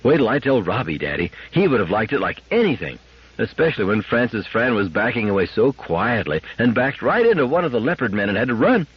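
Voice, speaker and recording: Guy doing an excited little kid girl, pleading, high for him, swoopy, muffled old radio sound. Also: brisk, husky. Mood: excited, eager. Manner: eager, exclamatory, pleading. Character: excited, trusting little girl, bubbly then worried. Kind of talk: audiobook character acting. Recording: dull lo-fi radio-like audio.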